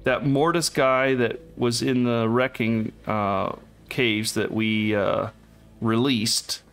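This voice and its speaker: A man's voice: mid-range voice, fairly even intonation, takes it slowly, a bit bright. A man casually commenting on his co-op game as he plays, musing aloud and laughing often. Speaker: let's play host. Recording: game music behind voice.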